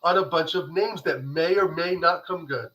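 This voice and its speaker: Bright-voiced questioning man in his forties. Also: on the high side, normal speed, rough recording. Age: 40s. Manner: pushes back with pointed questions. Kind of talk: casual football chat.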